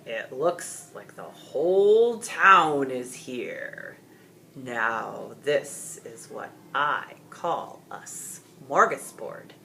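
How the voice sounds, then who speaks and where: A huge, gloating storybook dragon acted out by a woman booms with cold menace. The delivery is very slow and relishing, with a bright, forceful edge and some noise on the recording. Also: animated, creaky, exaggerated voice. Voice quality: cold, booming.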